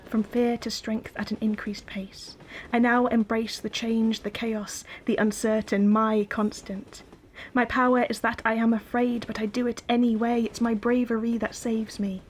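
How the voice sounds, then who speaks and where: A woman performing spoken-word poetry in an intimate voice that drops to a hush in places. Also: on the high side, little pitch movement, moderate pace, slight background noise.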